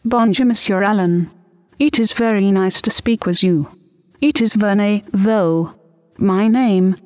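A woman's voice: medium pitch, unhurried, darkish tone. A synthetic computer voice playing a prim, easily offended French noblewoman with a formal, stilted delivery. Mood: polite. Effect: computer text-to-speech voice.

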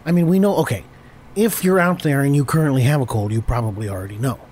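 A man with a cold, hoarse and stammering, hosting an improvised comedy podcast and constantly correcting himself. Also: medium pitch, fast talker, a little mellow, slight background noise. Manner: rambling, stammering, self-correcting. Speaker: podcast host.

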